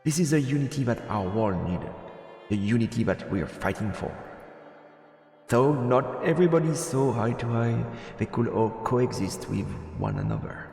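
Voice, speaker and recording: A man's voice: medium pitch, creaky, darkish tone, roomy sound, long reverb tail. A man live-streaming a visual novel, reading the story text aloud and adding casual asides, with game music behind him.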